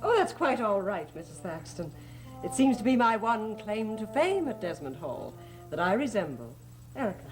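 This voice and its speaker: A woman's voice: medium pitch, sing-song, moderate pace, natural voice. An actress playing a poised, coy young woman in a gothic soap opera, with polite, composed theatrical speech. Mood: gracious, coy. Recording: music behind the dialogue.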